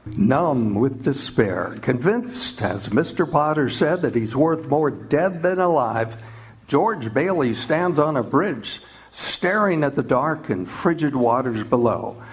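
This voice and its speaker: Grave slow-talking male narrator. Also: medium pitch, animated, husky. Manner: grave, dramatic. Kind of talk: scripted radio drama narration.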